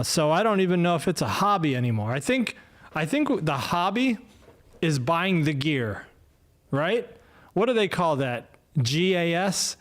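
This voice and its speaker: A man in his fifties talking casually on a solo livestream podcast, rambling and self-deprecating, with many fillers and restarts. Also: sits high. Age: 50s. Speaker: podcast host. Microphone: desk mic, no headphones.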